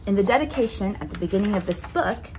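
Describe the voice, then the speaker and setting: A woman's voice: mid-range voice, expressive, fast, a little mellow. A woman reading a children's picture book aloud expressively, drawing out key words for emphasis. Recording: noticeable background noise.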